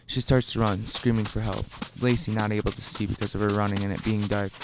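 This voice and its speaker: Gravelly man narrating over noisy effects. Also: lowish voice, level tone, fast. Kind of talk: scripted radio play narration, reading stage directions. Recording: noisy, rain and sound effects behind.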